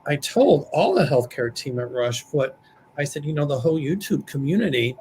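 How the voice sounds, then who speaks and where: A man with a slightly raspy voice, chatting and telling stories with jokes as a psychic on a live show. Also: a little high, animated, moderate pace, a little mellow, slight background noise, rough recording. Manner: chatty, joking, storytelling. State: slightly raspy after surgery. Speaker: psychic, show guest-regular. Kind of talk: casual chat on a live stream.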